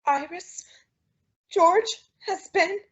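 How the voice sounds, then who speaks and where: A woman's voice: extremely high pitch, extremely slow, fairly bright tone, exaggerated voice. A woman playing an emotional, doting housewife in a theatrical, old-time radio comedy style. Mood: hesitant, troubled. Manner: theatrical, melodramatic, effusive. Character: emotional, dramatic, doting young-ish housewife. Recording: dull, lo-fi audio drama recording.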